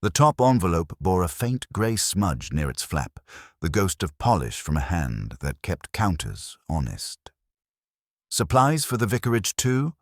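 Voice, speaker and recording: A man narrating a literary mystery story in a measured, wry, formal manner. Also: deep voice, very animated, no echo, clean studio sound.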